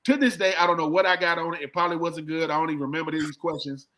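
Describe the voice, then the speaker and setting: Middle-aged man, high-pitched, forceful bright tone, colloquial sports talk, repetitive phrasing, storytelling with quoted dialogue. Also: very fast. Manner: colloquial, repeats himself, acts out quoted exchanges. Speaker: sports show co-host and former football player. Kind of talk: casual, animated sports storytelling.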